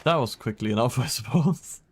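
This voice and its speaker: A man's voice: medium pitch, expressive, moderate pace, husky. A man giving casual live gameplay commentary as a streamer, with joking, sarcastic asides. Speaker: game streamer.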